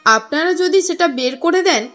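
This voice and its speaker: A woman voicing an earnest, courteous businessman in an audio story, speaking in a formal, measured, explanatory way. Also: very high-pitched, expressive, fairly bright tone. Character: earnest, courteous businessman recounting his family history. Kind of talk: audio story character reading. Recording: music under the voice.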